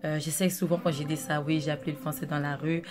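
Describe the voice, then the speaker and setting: A woman's voice: deep voice, flat delivery, quick pace. A young woman from Angola whose first languages are Dutch and Portuguese, chatting casually and rambling with many fillers as a makeup artist vlogger. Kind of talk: casual chat to camera. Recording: music playing under the voice.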